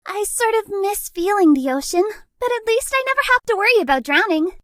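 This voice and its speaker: Very high-pitched, shy ghost girl. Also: lively intonation, brisk, fairly bright tone, no room sound, clean studio sound. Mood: playful, wistful. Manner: cutesy, bashful, gushing, with hesitant breathy asides. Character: shy, sweet, lovestruck young ghost girl. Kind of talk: dating game character voice lines.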